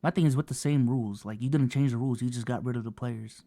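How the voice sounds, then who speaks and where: A man co-hosting a podcast, recounting things in casual banter with a halting, filler-heavy delivery. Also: mid-range voice, steady tone, warm tone, no echo, clean studio sound. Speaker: podcast co-host.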